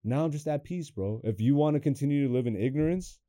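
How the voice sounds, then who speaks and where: A young man hosting a comedy podcast in a studio, chatting loosely with lots of swearing, swinging between loud hype and low mumbles. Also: quick pace, no echo. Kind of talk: casual podcast chat.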